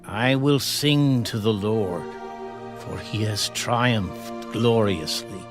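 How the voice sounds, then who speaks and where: Reverent triumphant prophet leader, super slow lowish voice declaiming praise, bible drama with music behind. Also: male voice. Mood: exultant. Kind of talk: dramatised scripture reading. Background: background music.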